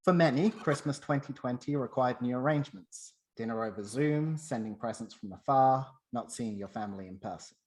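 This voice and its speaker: A man's voice: mid-range voice, normal speed, hardly any pauses, darkish tone. A man from the UK with a British accent, presenting a semi-scripted personal anecdote.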